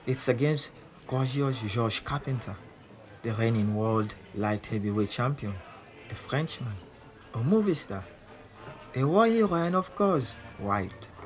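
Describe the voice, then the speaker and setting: A man's voice: medium pitch, lively intonation, a little slow, close to an everyday voice. An actor playing a proud, defiant male boxer who tells his own story in dramatic first person. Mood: proud, intense. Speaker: narrator in an audio drama. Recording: music behind the voice.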